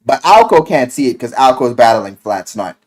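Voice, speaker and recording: A man casually retelling a video game story in an animated, rambling, slangy way, with plenty of swearing. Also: mid-range voice, brisk. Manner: animated, exaggerated, swearing, acts out quotes in mock voices. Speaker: game reviewer.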